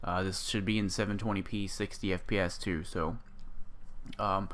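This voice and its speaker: Gaming creator, male, voice sitting a bit low, hoarse from a sore throat, loose and rambling delivery. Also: flat delivery, moderate pace, fairly bright tone. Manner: rambling, full of ums and restarts. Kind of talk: casual gameplay build walkthrough.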